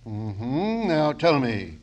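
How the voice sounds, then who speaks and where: A glib fake doctor on old radio. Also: male voice, mid-range voice, very animated, extremely slow, a little mellow. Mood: mock-grave. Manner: mock-solemn medical patter. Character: fast-talking fake doctor, scheming and glib. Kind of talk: 1940s radio comedy acting.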